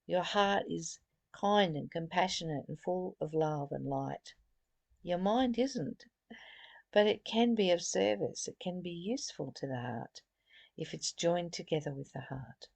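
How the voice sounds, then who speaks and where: A woman giving a reflective spiritual lesson, reading and explaining in deliberate, emphatic phrases with pauses and repetition. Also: fairly low pitch, gravelly, a little mellow, no room sound. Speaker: spiritual study teacher.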